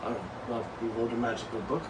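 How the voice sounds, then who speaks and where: A young man co-hosting a board game show, laid-back and laughing, narrating his story slowly. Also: mid-range voice, steady tone. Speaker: co-host. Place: small room indoors. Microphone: far camera mic. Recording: echoey room, distant mic, background noise.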